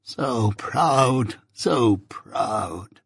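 A man voicing a bitter, possessive old adoptive mother in a novel reading, with impassioned, drawn-out, wailing cries. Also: mid-range voice, expressive, a bit bright, breathy edge, no room sound, clean studio sound, exaggerated voice. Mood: moaning.

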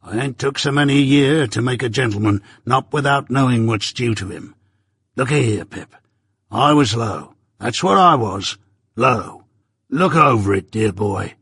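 A man reading an audiobook voices a rough old male ex-convict who dotes and blusters in a broad rustic dialect. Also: mid-range voice, expressive, unhurried, fairly bright tone, dry recording, clean studio sound, heavily acted. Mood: earnest. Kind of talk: audiobook character voice.

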